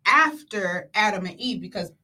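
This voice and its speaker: A woman hosting a talk show in a casual, animated, slangy way, often repeating phrases for emphasis. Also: medium pitch, normal speed. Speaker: show host.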